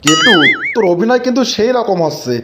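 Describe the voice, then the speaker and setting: A man acts out an older village man in a comic cartoon. His voice is high and the words come quickly, with emphatic, bossy phrasing and a rural Bangladeshi accent. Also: animated, loud music behind the voice. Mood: bossy advising. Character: scolding, hot-tempered village elder man.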